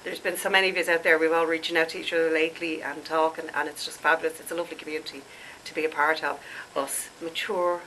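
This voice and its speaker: A woman's voice: low voice, level tone, quick pace, a bit bright, a little hiss or hum, low-quality recording. A woman in her late forties, congested and stuffed up, chatting and rambling to camera as a skincare vlogger and laughing at herself. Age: late 40s. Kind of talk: casual chat to camera.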